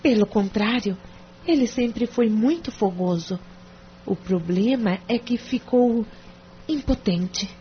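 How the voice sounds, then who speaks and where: A woman's voice: a little high, lively intonation, takes it slowly. An actress with a Brazilian accent playing a reserved, embarrassed married woman confiding in a hesitant way in an audio drama. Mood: confiding, sorrowful. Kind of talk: audio drama acting in Portuguese. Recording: dull lo-fi audio with clear noise.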